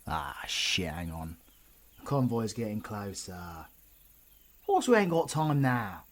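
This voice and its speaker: Male actor as cocky British supervillain for audio roleplay: gruff, sardonic, husky, unhurried, teasing the listener up close. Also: lowish voice, very animated, exaggerated voice. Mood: urgent, bossy. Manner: gruff, sardonic, swearing banter.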